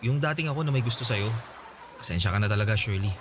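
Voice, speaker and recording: An actor plays a guarded young Filipino man in a radio drama. His voice is fairly low, measured and apologetic, with clear ambient noise behind it. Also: lively intonation, lightly acted. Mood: resigned, firm. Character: sober, guarded young man refusing love. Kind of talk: Tagalog radio drama acting. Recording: noisy ambient sound behind dialogue.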